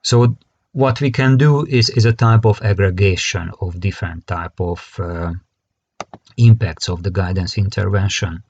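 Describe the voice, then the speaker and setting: A man with a Hungarian accent giving an academic conference lecture in halting, hesitant phrasing that often repeats words. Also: low-pitched, a little mellow, muffled recording. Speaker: conference presenter, career guidance expert.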